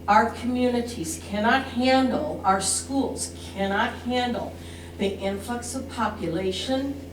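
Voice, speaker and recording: An older woman with a Midwestern American accent giving a plain, earnest, deliberate public comment. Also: on the high side. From Iowa, United States. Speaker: resident speaking at a public council meeting. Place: indoor council meeting room. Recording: echoey noisy room, distant mic, hum.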